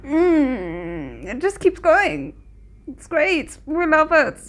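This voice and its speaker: A woman hosts a reaction video in a higher-than-average voice. She talks casually as commentary, with a squeamish, dryly sarcastic manner. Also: very animated, extremely slow. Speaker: host of a reaction video channel.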